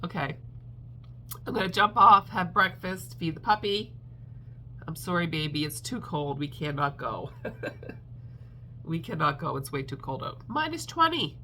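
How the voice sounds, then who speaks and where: Slow, bright-voiced congested woman vlogger. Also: fairly low pitch, big pitch swings, a little hiss or hum. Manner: chatty, rambling, laughs often, many ums. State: congested, stuffed up. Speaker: crochet vlogger. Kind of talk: casual chat to camera. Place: at home.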